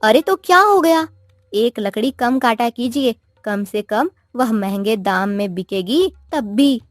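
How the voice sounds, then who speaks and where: A woman's voice: a little high, expressive, unhurried, dry recording, studio quality. A woman voicing a poor, wistful village wife who nags and pleads in a cartoon story. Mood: nagging. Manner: plaintive, pleading. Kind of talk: Hindi cartoon moral story voice acting.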